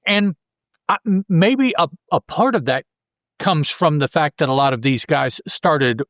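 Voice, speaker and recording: A man co-hosting a podcast in casual chat, halting and often rephrasing as he goes. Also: a little high, animated, fairly bright tone, no room sound, clean studio sound. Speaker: podcast co-host.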